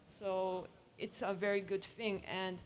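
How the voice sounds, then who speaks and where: A woman with a Croatian accent speaks from the audience at a conference. She is earnest and emphatic, in a mid-range voice. Clear background noise surrounds her. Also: mid-range voice, flat delivery, slow pace. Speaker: audience member, hospital professional.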